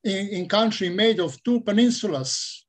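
A man's voice: high-pitched, animated, normal speed. A man in his sixties from Bosnia with a Bosnian accent, giving a prepared speech as an imam in formal, reverent phrasing over a video call. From Bosnia and Herzegovina. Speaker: imam and forum speaker. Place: indoors in a book-lined office, on a video call. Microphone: computer microphone on a video call.

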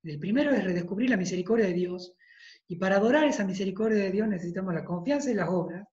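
A man in his thirties with a Tucumán Argentine accent, a priest giving an emphatic devotional talk over a video call, repeating phrases for weight and clearing a tired throat. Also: high-pitched, fast, a little mellow. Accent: Tucumán Argentine Spanish. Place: study with bookshelves, indoors. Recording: roomy, dull video-call audio.

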